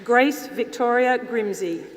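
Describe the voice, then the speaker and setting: A woman formally reading out a roll of names at a graduation ceremony, in a measured announcing manner. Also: on the high side. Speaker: graduation ceremony name reader. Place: large ceremonial hall, on stage indoors. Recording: reverberant hall, loud applause and noise. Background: audience applause.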